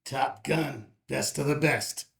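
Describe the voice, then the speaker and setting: A man's voice: medium pitch, takes it slowly, creaky, fairly bright tone. A man playing a haunted, wistful small-town witness, brooding as he recounts an incident at a table read.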